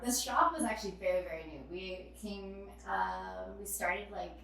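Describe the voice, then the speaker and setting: A woman chatting casually as an interview guest, giggly and animated, saying "like" often, recorded in a very echoey room away from the mic. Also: mid-range voice, moderate pace, gravelly, distant mic, crowd noise. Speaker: podcast guest, tattoo artist and shop owner. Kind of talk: casual chat.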